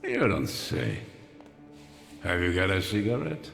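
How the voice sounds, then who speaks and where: An actor playing an aloof, dryly menacing governor general in a video game, gravelly and deliberate, a man of power. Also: sits low, huge pitch swings, big-room sound, over music, exaggerated voice. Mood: suspicious, dry. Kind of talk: story video game dialogue.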